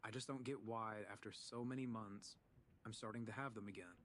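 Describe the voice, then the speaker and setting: A man's voice: lowish voice, steady tone, brisk, a bit bright, slight background noise, natural voice. An actor playing a troubled young man haunted by recurring dreams, speaking in a subdued, hesitant way. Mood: wistful. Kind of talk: voiced game dialogue.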